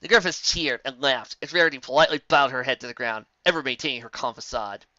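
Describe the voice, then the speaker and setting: A storyteller narrating fiction in an animated, theatrical way. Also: medium pitch, fast talker, dry recording, lo-fi sound. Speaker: storyteller, fan fiction reader.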